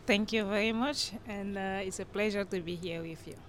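A woman from Cameroon with a Francophone Central African accent, giving explanatory interview answers as a guest scholar in halting, non-native English, often rephrasing. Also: medium pitch, a bit bright, a little hiss or hum. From Chad-born, grew up in northern Cameroon.

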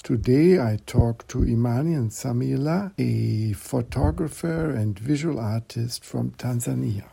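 A man hosting an interview podcast, speaking in a polite, formal and deliberate way. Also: medium pitch, gravelly, warm tone. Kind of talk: measured podcast introduction and interview questions.

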